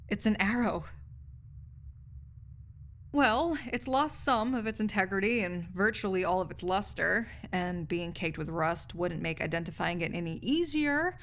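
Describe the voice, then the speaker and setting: A snarky, nerdy female research assistant, played by a woman in an intimate audio roleplay. She has a medium-pitched voice and explains things articulately, with a cynical edge and casual, wry asides. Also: a bit bright.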